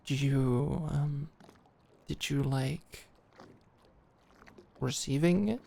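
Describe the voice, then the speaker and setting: Woman acting a slow, gravelly young woman confessing affection. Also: a bass voice, mellow, full character voice. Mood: shy, hopeful. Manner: halting, earnest, giggly, stammering. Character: smitten, protective young woman at camp, nervously confessing. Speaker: audio roleplay performer. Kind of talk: intimate ASMR romantic roleplay.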